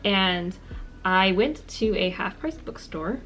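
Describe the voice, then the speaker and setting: A woman's voice: mid-range voice, animated, slow, fairly bright tone, soft background music, poor audio. A woman in her twenties talking casually to camera, giving friendly, earnest tips and repeating words for emphasis. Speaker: language-learning vlogger.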